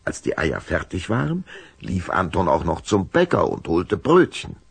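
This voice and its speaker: A man with a gravelly voice narrating a scripted audio drama for children. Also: low-pitched, wildly swooping pitch, moderate pace, no echo.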